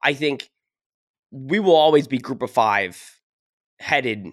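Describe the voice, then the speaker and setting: A man's voice: medium pitch, lively intonation, takes it slowly, no echo, studio quality. A man hosting a casual sports podcast, ranting with emphasis, a little sick and losing his voice. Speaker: podcast host.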